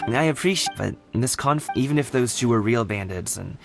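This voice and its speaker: A man's voice: mid-range voice, expressive, moderate pace, vocal fry, over music. An actor voicing an earnest, kind young man in a video game, talking in a casual, straightforward way. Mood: resigned.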